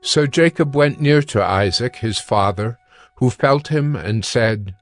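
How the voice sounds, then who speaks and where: A man reads scripture as a narrator. His voice is medium in pitch, and he delivers scripted storytelling between the actors' lines. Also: animated, unhurried.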